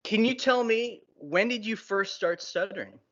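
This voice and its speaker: A man's voice: high voice, moderate pace, fairly bright tone. A man who stutters, interviewing in an informal, conversational way with occasional repeated words. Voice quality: occasional word repetitions of a stutterer. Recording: slightly dull, lo-fi sound.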